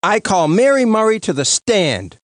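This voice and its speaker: An actor playing a brash, glib prosecuting lawyer in a cartoon, with a showy, blustering manner. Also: male voice, high-pitched, big pitch swings, moderate pace, crowd noise. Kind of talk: animated comedy courtroom dialogue.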